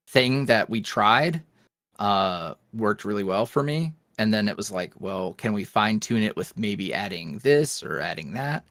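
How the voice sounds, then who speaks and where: Male guest, animated pitch, chatty and rambling, dry close sound. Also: vocal fry, no echo. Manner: rambling, lots of fillers and restarts. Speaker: guest, ASMR roleplay creator. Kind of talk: casual interview chat.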